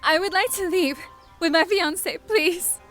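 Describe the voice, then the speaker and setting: A woman's voice: very high-pitched, unhurried, sharp tone, soft background music, full character voice. A woman playing a terrified young captive in a horror audio drama, tearful and pleading for her life.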